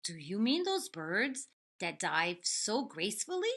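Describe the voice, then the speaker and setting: An odd, cheerfully oblivious storybook penguin, played by a woman reading aloud. The voice is bright and blaring, swinging exuberantly up and down. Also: mid-range voice, big pitch swings, unhurried, exaggerated voice. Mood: loud, oblivious. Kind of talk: children's picture-book character.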